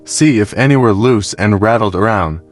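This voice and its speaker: A man reads a scripted product review narration in a measured, explanatory way. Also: lowish voice. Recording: music behind the voice. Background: background music.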